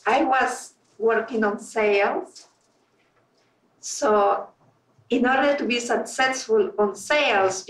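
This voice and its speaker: A woman's voice: mid-range voice, fairly bright tone, slight background noise. A middle-aged woman from Peru with a Spanish accent, speaking earnestly to a club meeting and searching for words. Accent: Peruvian Spanish. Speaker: club member. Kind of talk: informal speech to a club meeting. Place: meeting room. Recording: roomy sound from a distant mic.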